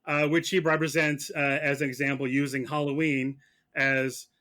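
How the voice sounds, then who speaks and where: A man playing prosecutor in a mock trial, arguing in a sarcastic, halting, conversational way. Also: on the high side, little pitch movement, sharp tone. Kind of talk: mock courtroom argument, conversational.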